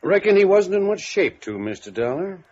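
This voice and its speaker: An actor playing a folksy, shrewd small-town police chief in a 1950s radio drama, laconic in manner. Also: male voice, high voice, very animated, normal speed, darkish tone. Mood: dry, cagey. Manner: laconic, folksy drawling idiom. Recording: muffled vintage radio recording.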